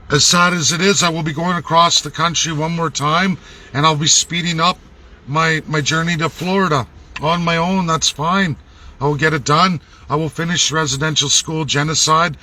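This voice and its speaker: A man's voice: a little high, bright, forceful tone. A man with a Canadian accent delivering a blunt, indignant, emphatic and profane unscripted political rant as a vlogger. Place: laundromat.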